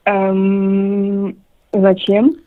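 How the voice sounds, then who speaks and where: A woman's voice: mid-range voice, completely flat delivery, drawn-out words, no room sound. A young adult woman giving brief replies over a lo-fi phone line. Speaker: student receiving a prank call.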